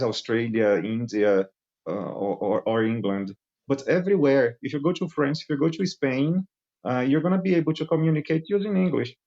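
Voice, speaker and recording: A man's voice: mid-range voice, darkish tone, dull audio. A man in his late thirties from Brazil, an English teacher hosting a podcast chat in English with a Brazilian accent. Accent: Brazilian Portuguese. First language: Portuguese. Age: 30s.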